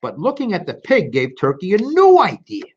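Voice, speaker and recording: Male storytime reader, animated, expressive, speaking directly to kids, lo-fi recording. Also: sits high, moderate pace, husky. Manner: expressive, talks directly to young listeners. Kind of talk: animated children's storybook read-aloud with casual asides. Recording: dull lo-fi recording.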